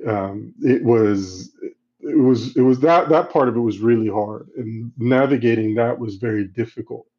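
A middle-aged man who grew up in Central Africa, answering interview questions in a halting, reflective way with many restarts. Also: medium pitch, slightly rough. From Congo, Central Africa. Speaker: guest. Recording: slightly dull, lo-fi sound.

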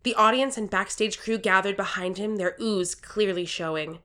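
A woman voicing an earnest, expressive 13-year-old game-show contestant who narrates their own story in an audio drama. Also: mid-range voice, moderate pace, lightly acted. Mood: uneasy.

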